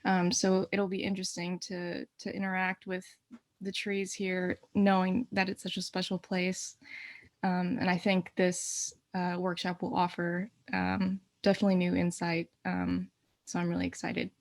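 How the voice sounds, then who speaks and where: A woman's voice: on the low side, monotone, unhurried, creaky, no room sound. A woman who works as an assistant curator, giving an informal introduction over a video call from a bedroom.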